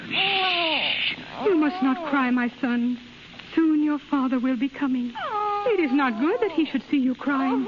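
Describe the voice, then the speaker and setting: Earnest young japanese wife, lovesick and trusting, high voice, broken english, old muffled radio play. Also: female voice. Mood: tender. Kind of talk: 1930s radio drama acting. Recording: scratchy, muffled 1930s radio recording.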